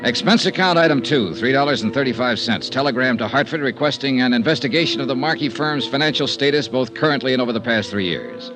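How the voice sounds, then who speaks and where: A man's voice: mid-range voice, expressive, fast talker, hardly any pauses, music in the background, natural voice. A man playing a cool, skeptical insurance investigator in a radio noir, laconic and probing. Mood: brisk narrating. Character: cool, skeptical male insurance investigator, hard-boiled detective. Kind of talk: 1950s radio noir acting. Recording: noisy vintage radio recording.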